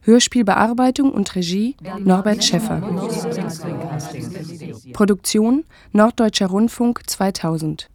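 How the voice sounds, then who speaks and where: A woman's voice: mid-range voice, slow, crowd noise. A woman reading radio drama credits as a scripted broadcast announcement.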